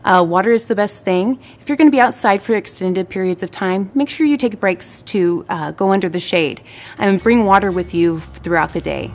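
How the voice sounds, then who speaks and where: A woman's voice: medium pitch, normal speed. A woman working as a health program manager, giving safety tips in a plain-spoken, instructive way. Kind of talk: informational safety tips to camera.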